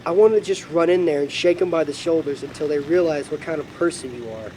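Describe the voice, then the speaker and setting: Weary fatherly God, slightly high-voiced man acting. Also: fast talker, a little mellow, slight background noise, natural voice, rough recording. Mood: hurt, protective. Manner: sorrowful, reflective, fatherly. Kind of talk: film dialogue.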